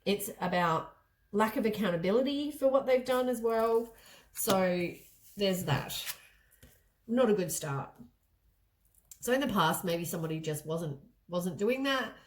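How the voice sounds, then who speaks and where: A woman's voice: medium pitch, animated, a little slow, long pauses, low-quality recording. A woman with a stuffy, congested voice from a head cold, giving a casual tarot card reading. She rambles and often corrects herself mid-sentence. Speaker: tarot reader.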